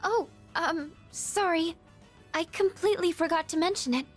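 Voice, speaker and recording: Very high, perky teenage girl. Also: moderate pace, faint music behind. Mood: frank. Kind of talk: video game voice acting.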